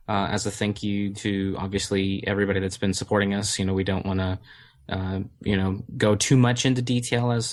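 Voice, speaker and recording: A man, the community manager of a game studio, answering interview questions in a hesitant way with many fillers and restarts. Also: fairly low pitch.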